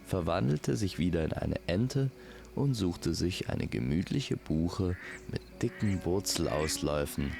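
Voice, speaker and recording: A man narrating a scripted fantasy tale in a measured, poetic manner. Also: low-pitched, animated, vocal fry. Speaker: storyteller.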